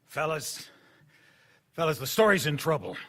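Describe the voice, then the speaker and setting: Principled male newsman, radio drama, bright voice, long stage reverb. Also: on the high side, very animated, normal speed. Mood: worried. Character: questioning, principled newspaper staffer. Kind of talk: live radio play acting. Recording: reverberant live stage recording.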